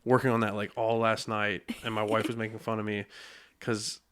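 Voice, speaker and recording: A man's voice: on the low side, flat delivery, fairly quick, fairly bright tone, clear, resonant tone. A man hosting a podcast interview in a studio, chatting casually and joking, sometimes stumbling over words. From United States. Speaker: podcast host.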